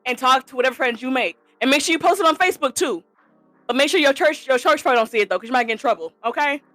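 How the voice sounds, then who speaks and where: A woman's voice: sits high, animated, fast talker, fairly bright tone, dry recording, soft background music. A woman in her twenties, a podcast guest, chatting casually and playfully with jokes and teasing. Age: 20s.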